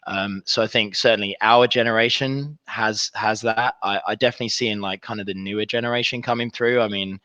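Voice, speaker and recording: A man's voice: on the low side, steady tone, a little fast, hardly any pauses, bright, forceful tone, no room sound, highs cut off. A man in his thirties with a cold, speaking as an interview guest in a rambling, casual way with many restarts. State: congested with a cold. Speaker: guest, recruitment business leader.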